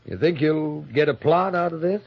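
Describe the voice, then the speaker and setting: An actor playing a plain-spoken, inquisitive police sergeant with a halting, folksy manner, in 1950s radio drama style. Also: male voice, a little high, creaky, breathy edge. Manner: halting, informal, folksy questioning. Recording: muffled old radio recording.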